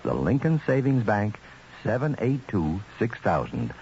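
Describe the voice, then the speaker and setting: A man's voice: fairly low pitch, huge pitch swings, unhurried, darkish tone. A man reading a scripted, folksy radio commercial as an announcer. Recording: muffled old radio, faint hiss.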